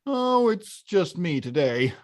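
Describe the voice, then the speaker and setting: A woman acts out a big, clumsy cartoon game villain, a blustering guy who is more hapless than scary. The pitch swings widely and the delivery is slow, with halting bursts of exclamation. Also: big pitch swings. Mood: evasive, irritated. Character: big clumsy male video-game bad guy, gruff but hapless. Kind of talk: animated film script table read.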